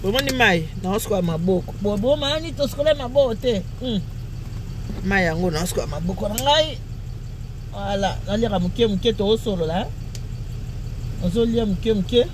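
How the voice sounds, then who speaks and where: A woman's voice: medium pitch, animated, normal speed. A Congolese woman whose first language is Lingala, chatting playfully and laughing as she orders food at a drive-through from her car. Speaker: vlogger. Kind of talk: casual vlog chat. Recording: car and traffic noise.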